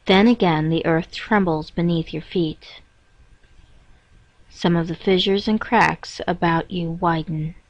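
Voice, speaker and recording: A woman reading a scripted guided meditation in a measured, instructing manner with pauses between phrases. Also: fairly low pitch, fairly even intonation. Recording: dull, roomy echoing lo-fi recording.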